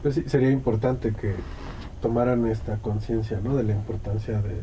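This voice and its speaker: A Mexican man hosting a radio interview, calm and steady, giving frequent soft agreement while the guests talk. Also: mid-range voice, normal speed, recorded from a distance, a little hiss or hum. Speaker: radio host.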